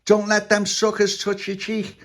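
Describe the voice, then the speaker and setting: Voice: mid-range voice, monotone, a little slow, voice pushed up, natural voice. A performer voicing a small, cautious puppy who gives worried warnings in a children's story. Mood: anxious.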